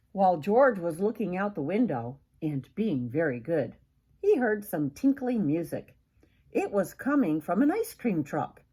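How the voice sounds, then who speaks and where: A woman's voice: fairly low pitch, dark tone, husky. An older woman reading a children's storybook aloud expressively, with dramatic emphasis and asides to young listeners. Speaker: grandmother reading a picture book aloud.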